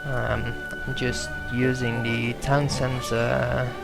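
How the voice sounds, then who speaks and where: A young man whose first language is Dutch talks through his own strategy game match in a gravelly voice, with clear music playing underneath. He hesitates often, fills gaps with ums and corrects himself mid-sentence. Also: mid-range voice, flat delivery, slow pace, poor audio. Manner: hesitant, many fillers and self-corrections. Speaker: gameplay commentator. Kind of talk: casual gameplay commentary.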